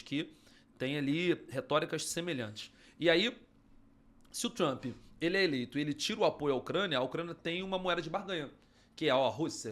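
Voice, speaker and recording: Brazilian podcast co-host explaining the news. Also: male voice, fairly high pitch, fairly bright tone. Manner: explanatory, conversational. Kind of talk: casual news-discussion chat.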